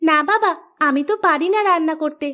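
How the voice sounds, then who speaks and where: A woman's voice: extremely high pitch, normal speed, dull audio. A woman voicing a cheeky, sweet young village woman in a cartoon, perky and playful. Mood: bashful.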